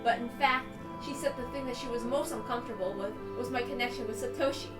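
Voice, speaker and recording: Woman, dramatic first-person story narration, fairly high pitch, roomy echo, background music. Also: fairly even intonation, fast talker. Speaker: narrator reading a visual novel aloud.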